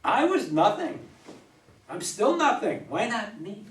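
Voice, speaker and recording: Man performing grieving drinker, stage drama, terse, anguished, widely swinging pitch, distant echoey recording. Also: sing-song, a little slow. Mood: anguished outburst. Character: grieving, bitter widowed man, recovering drinker in a bar. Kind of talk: staged play reading. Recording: roomy, distant mic, faint noise.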